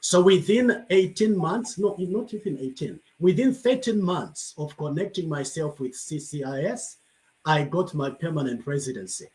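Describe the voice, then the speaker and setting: A man's voice: a little high, lively intonation, moderate pace, darkish tone, low-quality recording. A man from Zambia who leads a rural congregation as pastor, answering on a video-call panel in a grateful, storytelling way.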